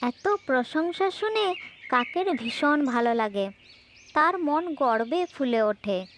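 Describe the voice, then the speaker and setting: High-pitched woman narrating a children's fable. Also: lively intonation, slow pace, slight background noise. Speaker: storyteller.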